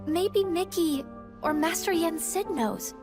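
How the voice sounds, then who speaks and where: High-pitched teenage heroine. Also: female voice, slow, over music. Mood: curious. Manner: earnest, curious. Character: sweet, earnest teenage girl heroine.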